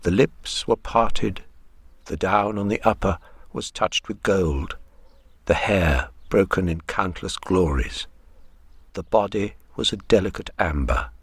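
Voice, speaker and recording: An actor with an English accent narrating a literary radio drama as a grave, measured Edwardian storyteller with a husky voice. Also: male voice, low-pitched, dry recording, full character voice. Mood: measured, wistful.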